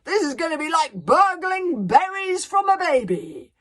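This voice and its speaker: A woman's voice: on the high side, sing-song, moderate pace, breathy edge, voice pushed up. A woman voicing a brash, careless Viking pirate instructor for a children's storybook, bellowing blustering commands. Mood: brisk, booming.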